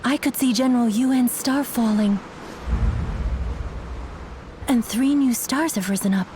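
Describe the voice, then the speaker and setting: A woman's voice: on the high side, a little slow, noisy background, natural voice. A woman playing a poised, cryptic young lady stargazer in a dramatic video game cutscene. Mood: hushed, wondering.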